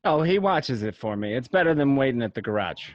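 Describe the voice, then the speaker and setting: A man playing a young, smooth-talking gangster boyfriend in a read-through of a film script. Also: mid-range voice, animated, fairly quick, highs cut off, slight background noise, natural voice. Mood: casual, evasive.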